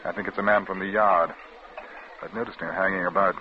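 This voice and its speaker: A young British man played by an actor is a gentleman crook, urbane and nonchalant, with a mid-pitched voice. The vintage radio recording is dull, with slight noise. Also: steady tone, a little fast, natural voice. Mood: low, cool. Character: suave, flippant young English gentleman thief. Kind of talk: 1940s-50s radio drama acting.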